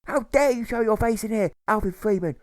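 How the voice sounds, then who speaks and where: A man voicing a hostile elderly mother who scolds in halting, repeated bursts, in an audiobook. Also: sits high, a little mellow, dry recording, clean studio sound, voice pushed up, lightly acted. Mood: outraged, scolding. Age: older. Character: hostile, ashamed elderly mother, thin and wrinkled.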